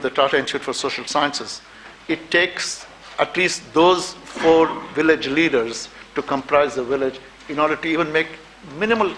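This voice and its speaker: Guy on a panel with a higher voice, explaining stuff, lots of "you know, right?", faint room hum. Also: a bit bright, rough recording. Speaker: panelist, university dean. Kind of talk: panel discussion. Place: university auditorium.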